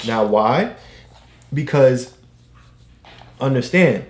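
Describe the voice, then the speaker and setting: A man's voice: mid-range voice, animated, extremely slow. A man patiently explaining things to a child as a father during a read-aloud, teaching with questions and examples. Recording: roomy, echoey home recording.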